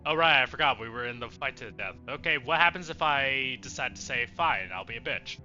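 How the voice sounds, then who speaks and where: A man's voice: mid-range voice, lively intonation, a little fast, bright, forceful tone, muffled recording, soft background music. A man giving casual, reactive game commentary as a streamer, blunt and exclamatory with plenty of swearing. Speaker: let's play streamer.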